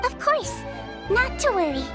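A woman voicing a small, gentle creature nurse in a video game, sweet, soft and reassuring. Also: very high voice, big pitch swings, measured pace, over music, heavily acted. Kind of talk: scripted game dialogue.

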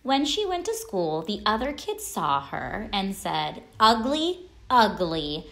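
A woman reading a children's picture book aloud as a storyteller, expressively and deliberately, with dramatic pauses. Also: mid-range voice, wildly swooping pitch, vocal fry, a bit bright.

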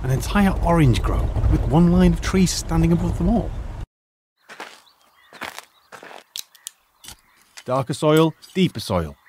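Retired English archaeologist for audio drama, British accent, polite yet sardonic, very lively intonation over faint ambience. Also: male voice, fairly high pitch, normal speed. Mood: curious. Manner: muttering musings, hesitant, polite. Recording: faint ambient noise behind.

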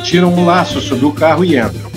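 A man's voice: fairly high pitch, fairly quick. Male audio drama narrator over music.

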